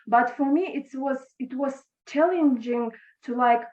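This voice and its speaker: Young woman, native Russian speaker, halting with restarts, slow, echoey lo-fi call audio. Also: high voice, darkish tone. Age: young adult. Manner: halting, with frequent restarts and stammers. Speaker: interview guest, former intern. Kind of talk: video call interview. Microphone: video call. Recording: echoey, dull lo-fi video call.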